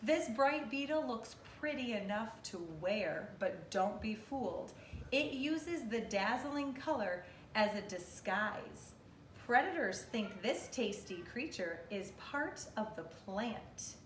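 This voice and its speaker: A woman in her thirties, a kindergarten teacher reading aloud to young children in an expressive, instructive way and asking questions, recorded at home from a few metres away. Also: on the high side, slow pace. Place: living room at home, indoors. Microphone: camera or phone a few metres away. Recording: roomy, distant mic, faint noise.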